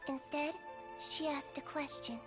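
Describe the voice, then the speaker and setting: A small, gentle girl doctor with a very high, youthful voice. She speaks earnestly and with measured care, as a video game character. Soft music sits faintly behind her. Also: fairly even intonation. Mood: solemn storytelling.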